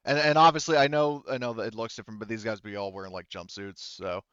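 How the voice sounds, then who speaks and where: A man with a fairly low, smooth voice runs a tabletop game session as game master. He talks casually and hesitantly, working things out aloud. The sound is dry and slightly dull. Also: fairly even intonation, quick pace, a bit bright, no room sound. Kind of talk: running an online tabletop roleplaying game, casual.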